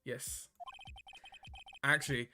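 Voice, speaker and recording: A man's voice: medium pitch, level tone, extremely slow, over music. A man voicing an earnest, slightly nervous young rookie lawyer in a video game. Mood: sheepish.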